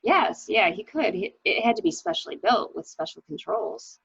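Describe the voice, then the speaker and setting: A woman plays an anxious widow in a murder mystery, hesitant yet dramatic. Her husky voice swoops expressively and crackles with fry, on a dry, dull lo-fi recording. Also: medium pitch, very animated, moderate pace, fairly bright tone, dry recording, heavily acted. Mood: somber, recounting. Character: anxious, hesitant new widow recounting her husband's misdeeds. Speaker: library staff reader.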